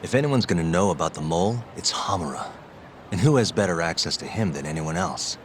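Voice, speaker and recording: An actor playing a composed, confident lawyer turned private detective in a video game. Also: male voice, low voice, animated, a little fast, slight background noise. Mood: focused. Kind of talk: English video game voice acting.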